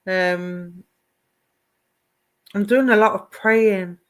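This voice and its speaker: A woman's voice: medium pitch, very slow. A woman working as a psychic medium, giving live online readings, greeting viewers by name and calling them "my darling". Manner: affectionate, calls viewers "my darling"; greets and addresses viewers by name, asks if things make sense.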